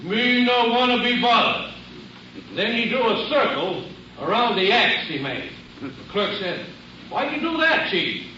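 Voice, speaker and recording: A man's voice: very high voice, sing-song, measured pace, pushed and bright, heavily acted. An American man playing a middle-aged veteran detective, wry and folksy, in old-time radio drama style. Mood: jovial speechmaking. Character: veteran male police detective, wry, genial banquet speaker. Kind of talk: 1940s-50s radio drama acting, after-dinner speech. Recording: muffled old radio, roomy, distant, noisy.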